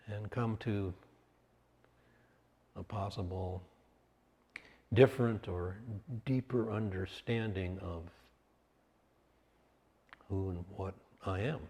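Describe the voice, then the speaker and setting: A man with a low voice guides a meditation at a very unhurried pace. He offers instruction in short, measured phrases with space between them. The sound carries a long, hall-like reverb. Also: a little mellow.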